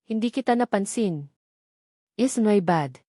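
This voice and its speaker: A woman's voice: mid-range voice, animated, unhurried, dark tone, dry recording. Arrogant wealthy female rival, performed by a woman narrator, Filipino accent, sweetly mocking, audio storybook villain, dry recording. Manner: sugary, mocking.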